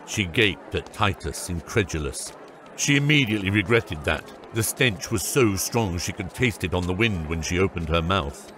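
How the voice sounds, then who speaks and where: A man's voice: low voice, lively intonation, moderate pace, fairly bright tone, a little hiss or hum. A man narrating a scripted fantasy audiobook.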